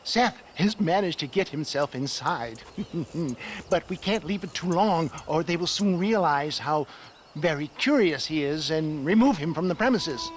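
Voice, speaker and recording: High, unhurried, pompous Western schemer. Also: male voice, expressive, a little hiss or hum. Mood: conspiratorial, dramatic. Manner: pompous, wordy, sardonic. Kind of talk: video game cutscene acting.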